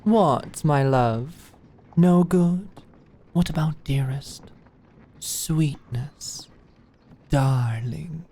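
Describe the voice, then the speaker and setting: A woman's voice: low-pitched, drawn-out words, long pauses, warm tone, heavily acted. A woman voicing a wry, teasing lady knight in a medieval audio drama, dry and playful. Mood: teasing, affectionate. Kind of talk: medieval fantasy audio roleplay acting.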